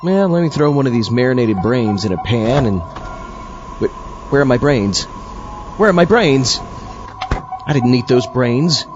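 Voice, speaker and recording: A man's voice: medium pitch, animated, measured pace, long pauses, background noise, close to an everyday voice. A man voicing a petulant, indignant zombie astronaut who bickers with his own double in a comic radio skit. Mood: puzzled, grumbling. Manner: exclamatory, swooping, indignant. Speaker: show host. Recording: dull lo-fi with music behind.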